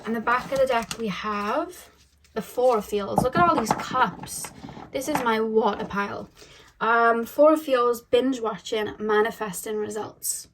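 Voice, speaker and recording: A woman's voice: fairly high pitch, a little slow, a little hiss or hum, low-quality recording. Chatty woman giving a tarot reading. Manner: chatty, musing aloud, repeats questions to spirit guides. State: voice going a little deep. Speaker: tarot reader. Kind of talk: casual tarot reading to camera. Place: indoors at home.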